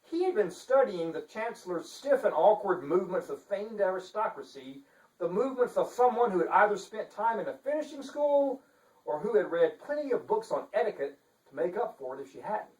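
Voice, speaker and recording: A man with a pompous, theatrical manner giving a deliberate dramatic reading as an author. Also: sits high, sing-song, a little mellow. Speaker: author reading his novel. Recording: echoey room, distant microphone.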